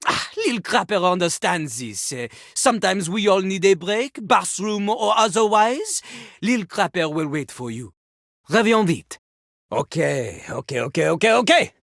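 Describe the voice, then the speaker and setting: A woman voicing a cocky, theatrical male French rapping toilet in a video game, husky and pompous, with a French accent. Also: medium pitch, sing-song, unhurried, dry recording, studio quality, exaggerated voice. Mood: condescending. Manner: pompous, talks of himself in third person. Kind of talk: video game character acting with rapped verses.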